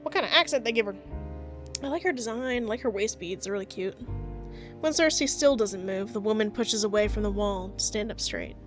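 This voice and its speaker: A woman reading a visual novel aloud as narrator, breaking off with playful, self-mocking asides, with soft music behind. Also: mid-range voice, brisk. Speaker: gaming video creator.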